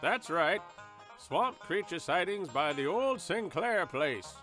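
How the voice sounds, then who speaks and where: A man's voice: high-pitched, expressive, slow, bright, forceful tone, faint music behind, heavily acted. A man voicing a crooked, bullying small-town deputy with folksy bluster in a horror radio play.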